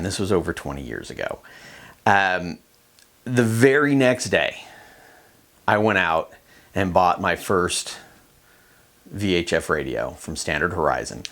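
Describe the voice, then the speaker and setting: A middle-aged man with an American accent gives informal, conversational instruction, unscripted and with hesitant pauses. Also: low-pitched, a bit bright. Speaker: fishing show host and instructor.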